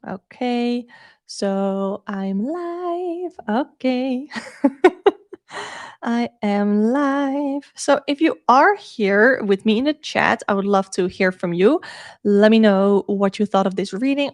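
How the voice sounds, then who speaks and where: A woman's voice: a little high, dry recording, clean studio sound. A woman from the Netherlands with a Dutch accent, chatting casually as a live-streaming tarot reader. She thinks aloud informally and often repeats herself.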